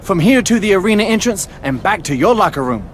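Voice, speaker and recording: A self-important comic superhero wrestler in a video game. His fairly high voice swings about with earnest, grandiose delivery over faint noise. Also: sing-song, brisk. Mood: grand, eager. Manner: grandiose, oblivious.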